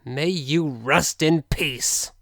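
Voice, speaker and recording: A man's voice: on the high side, drawn-out words, creaky, noisy background. A man hosting a gaming video with playful, joking commentary and a theatrical streak.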